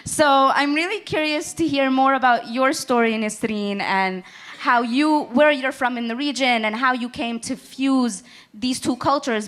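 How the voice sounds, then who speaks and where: A woman's voice: a little high, fairly bright tone. A woman from Egypt hosting a live on-stage interview, praising the performer and asking thoughtful questions. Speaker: podcast host interviewer. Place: small music venue stage.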